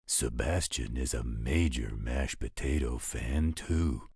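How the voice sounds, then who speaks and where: A man's voice: a bass voice, slow, gravelly, a little mellow, husky, dry recording, clean studio sound, heavily acted. A man playing an easygoing, coaxing partner in a scripted roleplay audio drama, with a patient drawl. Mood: coaxing, insistent. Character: easygoing male partner soothing his mate, potato-loving.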